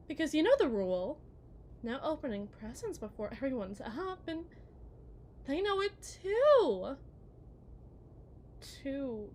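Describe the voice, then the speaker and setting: Woman acting as high-voiced, slow-talking possessive yandere kidnapper. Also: a little hiss or hum. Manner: theatrical, drawn-out, swinging from hushed to emphatic. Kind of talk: ASMR roleplay monologue, dramatic acting.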